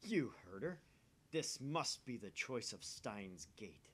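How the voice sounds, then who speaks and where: A man's voice: sing-song, slow pace, slightly rough, heavily acted. A man voicing a grandiose, bossy young self-styled mad scientist with theatrical, pompous declarations for a visual novel. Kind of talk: visual novel character acting. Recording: faint rumbly background noise.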